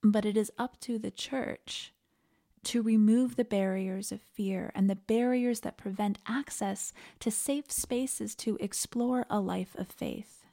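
Woman minister reading a reflective sermon, mellow and kinda gravelly fry, earnest and measured. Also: mid-range voice, steady tone. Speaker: minister preaching, podcast host.

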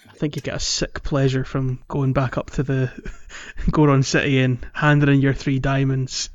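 A man's voice: mid-range voice, flat delivery, moderate pace, no echo. A man co-hosting a gaming podcast, chatting casually in a jokey, bantering way on a slightly lo-fi mic. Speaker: podcast co-host.

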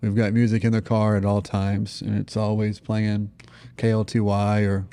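A man's voice: lowish voice, monotone, normal speed, mellow, clear, resonant tone. An American man in his thirties talking casually and earnestly as a parent, often dropping in "you know". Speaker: parent sharing family testimony. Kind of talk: casual conversational testimony.

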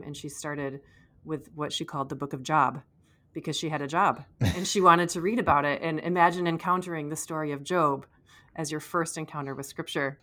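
A woman's voice: low voice, sharp tone. A woman hosting a podcast interview in a conversational, attentive and affirming way.

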